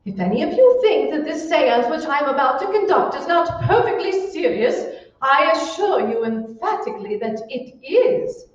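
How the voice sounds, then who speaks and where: A woman's voice: high-pitched, very animated, normal speed. A woman playing a gushing, bossy society hostess in old-time radio style, theatrical and grandly over-enunciated. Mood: solemn, grandiose. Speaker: amateur stage actor. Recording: echoey room, distant mic, dull sound.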